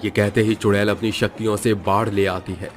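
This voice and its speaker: A man's voice: lowish voice, flat delivery, fairly quick. A man narrating a scripted horror cartoon story in a dramatic storytelling manner.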